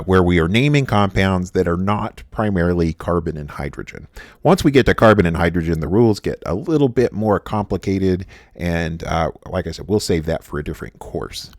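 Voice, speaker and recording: A man with a very low voice teaches a recorded chemistry lesson, stopping often with "uh" and restarting his sentences. The sound is dry and close, with no room echo. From United States. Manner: halting, frequent "uh" fillers and restarts. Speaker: chemistry instructor. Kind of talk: recorded lecture.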